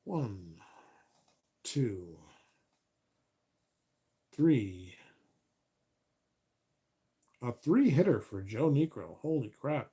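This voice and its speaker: A man doing play-by-play of a tabletop baseball replay as host, relaxed and correcting himself as he counts stats aloud, his voice getting a little raspy. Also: mid-range voice. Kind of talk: play-by-play commentary of a board game replay.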